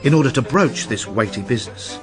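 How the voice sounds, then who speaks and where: An actor narrating a literary radio drama as a wry, worldly storyteller. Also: male voice, medium pitch, expressive, brisk, over music, lightly acted.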